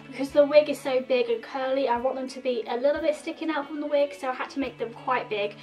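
Woman, 30s, high bright voice, nasal sore throat, chatty explainer, distant mic with faint music. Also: fairly even intonation, fast talker. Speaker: makeup vlogger. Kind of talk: casual tutorial talk to camera. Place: indoor room in front of a red plaid backdrop.

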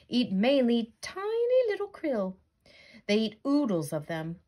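Animated, husky American woman reading aloud. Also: mid-range voice. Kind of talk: children's storybook read-aloud.

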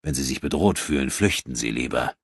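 A man voicing a worried, puzzled adventurer in an audiobook, speaking gravely and with some hesitation. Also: animated, fairly quick, no echo, studio quality, heavily acted. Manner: hesitant, low-key, grave. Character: worried, puzzled male adventurer companion, serious. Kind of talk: audiobook character dialogue.

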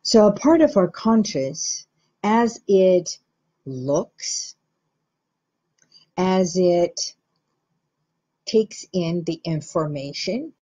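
A middle-aged woman from Iran, a hypnotherapist, explaining the mind to viewers in a slow, measured, guiding way. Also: lowish voice, warm tone, slightly rough, dull audio. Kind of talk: teaching talk to camera.

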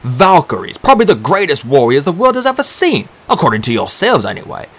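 A man's voice: a little high, sing-song, fairly quick, fairly bright tone, voice pushed up, exaggerated voice. A man voicing an impatient, scornful old male magician who snaps his demands in an audiobook. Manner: impatient, snapping.

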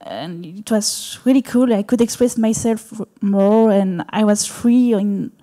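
A woman's voice: mid-range voice, mellow. A woman on a live panel, speaking hesitantly with restarts and fillers. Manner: hesitant, halting, with restarts and laughs. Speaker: panelist, animation director and game artist. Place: small venue stage before an audience.

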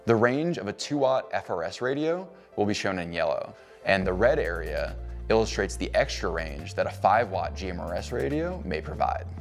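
A man in his thirties giving a measured, scripted explanation indoors in a cabin-style studio. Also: deep voice, gravelly. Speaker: co-founder explaining radio range. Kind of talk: scripted educational narration.

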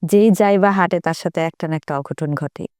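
A woman's voice: on the low side, brisk, a little mellow, smooth, no echo, studio quality. A woman with a Bangladeshi accent telling casual anecdotes in a group chat, dropping in English words.

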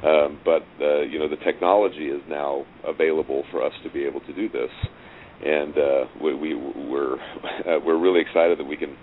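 Very deep-voiced man on a tinny line. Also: steady tone. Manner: halting, repeats words as he explains. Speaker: interview guest, audio drama writer and producer. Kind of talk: conversational interview, explaining a project. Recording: noisy, tinny phone-like call line.

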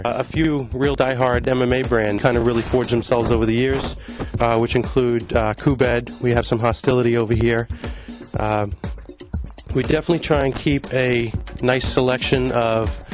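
A man in his thirties from Long Island giving a casual, filler-heavy walkthrough of his store, speaking into a handheld mic. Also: medium pitch. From Long Island, New York. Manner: conversational, many fillers and restarts. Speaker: store owner giving a tour. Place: indoor retail store. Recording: background music in a shop. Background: music playing in the store.

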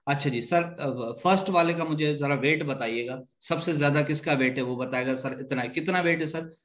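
A man's voice: a little high, normal speed, a bit bright. A man giving a live online lesson as instructor, explaining step by step, repeating points and often checking that students follow. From Pakistan.

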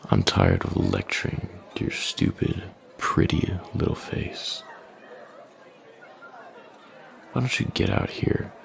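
Man playing a very gravelly, deep-voiced young bully. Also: a little mellow, full character voice. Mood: smug, dismissive. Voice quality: deep, gravelly, breathy at line ends. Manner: taunting, deliberate, drawling threats. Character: menacing young male bully, smug, possessive and mocking. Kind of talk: boyfriend ASMR audio roleplay, intimate slow menace.

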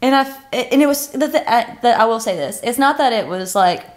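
A woman's voice: on the high side, expressive, brisk. A woman in her early thirties co-hosting a casual book chat, blunt and opinionated, swearing casually. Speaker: podcast co-host. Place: living room couch, indoors.

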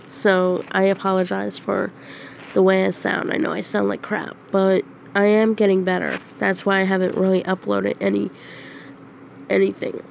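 A woman with a head cold, sounding nasal and hoarse, talking to her channel's viewers in a slow, halting casual announcement. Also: mid-range voice, level tone, lo-fi sound, a little hiss or hum. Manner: slow, halting, with frequent throat clearing and coughs. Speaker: channel host. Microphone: phone screen recorder.

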